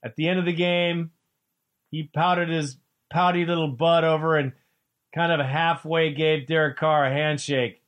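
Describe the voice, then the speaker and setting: Excitable fan radio host, fairly high voice. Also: male voice, a little slow, dull audio. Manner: excitable, preachy, trash-talking fan. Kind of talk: sports talk radio.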